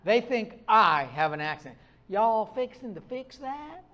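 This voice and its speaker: Middle-aged pastor, northern US accent, high tenor, wry self-mocking storyteller. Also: male voice, very animated, measured pace, sharp tone, muffled recording. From Upper Midwest of the US. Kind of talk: sermon.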